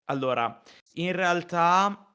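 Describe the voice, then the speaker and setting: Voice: mid-range voice, lively intonation, slow pace, bright, forceful tone, clear, resonant tone. Streamer co-host, playful and mock dramatic, just chatting casually. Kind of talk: casual chat.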